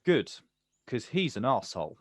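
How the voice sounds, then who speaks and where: A man's voice: mid-range voice, very animated, moderate pace, no room sound, studio quality. Hesitant, wry male poet, played by an actor. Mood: wry, curious. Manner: questioning, stammering when unsure. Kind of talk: stage play acting, quiet two-hander.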